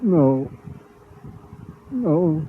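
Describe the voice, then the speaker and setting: Halting, agonized wounded spaceman. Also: a little high, sing-song, exaggerated voice. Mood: anguished. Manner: halting, groaning, weak speech. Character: blinded, wounded spaceship crewman in agony. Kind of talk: old-time radio drama acting. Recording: muffled, noisy vintage radio recording.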